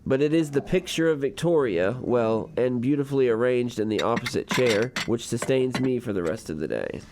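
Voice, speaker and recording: A man acting an urbane, devoted, gently pedantic husband with a wordy, courtly way of talking in a radio comedy. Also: mid-range voice, animated, normal speed, a little hiss or hum, natural voice. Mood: courtly, amused.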